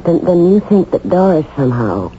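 An actress playing a loyal, loving, supportive wife in a 1970s radio drama. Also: female voice, on the low side, very animated, normal speed, mellow. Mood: questioning, anxious. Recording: muffled, noisy 1970s radio broadcast.

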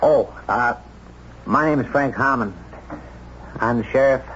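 Hesitant county sheriff, played by an actor, dull recording. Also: male voice, mid-range voice, measured pace, a bit bright, close to an everyday voice. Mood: awkward, polite. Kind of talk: 1970s radio drama acting. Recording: dull vintage radio recording, faint noise.

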